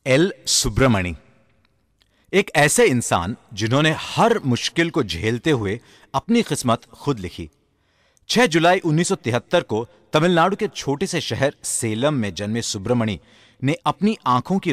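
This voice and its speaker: A man narrates a scripted biographical piece for radio. His mid-pitched voice is animated, rising and falling often. The recording is close and dry. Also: no room sound. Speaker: profile narrator.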